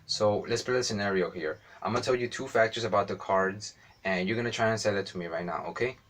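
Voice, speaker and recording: A man playing a brisk female store manager. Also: lowish voice, a bit bright, close to an everyday voice. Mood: businesslike.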